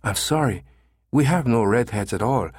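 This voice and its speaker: A man voicing a pleasant, courteous woman receptionist at a Spanish agency, speaking slowly and helpfully. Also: mid-range voice, expressive, slightly rough, dry recording, clean studio sound. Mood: polite, helpful.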